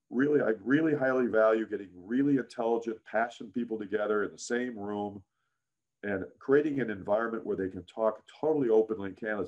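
A man in his sixties with a deep voice, a guest in a casual interview, telling career stories with many "frankly"s and "uh"s. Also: lo-fi sound. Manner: frequent "frankly" and "uh", animated anecdotes. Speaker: interview guest, business founder.

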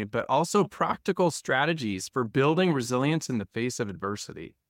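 A male host reading a prepared introduction in a measured way, then asking interview questions. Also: mid-range voice, fairly bright tone, no echo, studio quality. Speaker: podcast host.

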